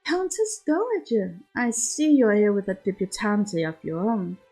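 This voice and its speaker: A woman's voice: mid-range voice, sing-song, normal speed, a little mellow, husky, faint music behind. A woman voicing a sinister, strict older noblewoman who scolds in a haughty hiss, in an audiobook story. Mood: sinister politeness. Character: sinister, strict grey-haired noblewoman, scornful mother.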